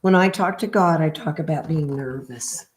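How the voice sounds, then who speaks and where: A middle-aged woman in a gentle read-aloud style with casual, chatty asides and a halting, unhurried manner. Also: sits low, a little hiss or hum. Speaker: spiritual healer reading a picture book aloud.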